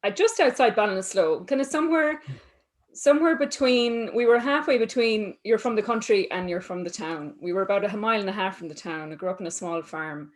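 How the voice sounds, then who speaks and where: A woman's voice: medium pitch, fairly quick. A woman from East Galway with an Irish accent, a cheerful guest who laughs easily. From East Galway, Ireland. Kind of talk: casual interview answers.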